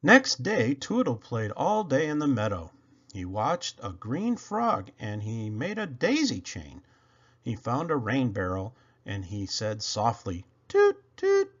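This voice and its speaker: A man reading a children's story aloud, narrating expressively with dramatic emphasis and drawn-out words. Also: mid-range voice, big pitch swings, fairly bright tone, lo-fi sound. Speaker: storybook reader.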